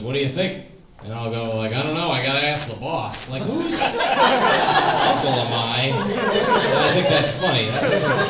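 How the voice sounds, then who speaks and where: A thirty-year-old man performing stand-up in a comedy club, his delivery dry and halting, padded with "uh" and "like". He is recorded from some distance, so the sound is roomy, and audience laughter and noise are heard clearly behind him. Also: mid-range voice. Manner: dry, hesitant delivery with many "uh" and "like". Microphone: stage microphone.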